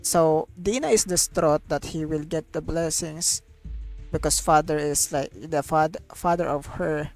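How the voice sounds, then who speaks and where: A woman reacts to a game story on stream in a low, slow voice. She talks casually and haltingly, circling back over her words, with faint music behind her. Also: fairly even intonation, a little mellow, rough recording. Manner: halting, searching for words, repetitive. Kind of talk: casual live game commentary.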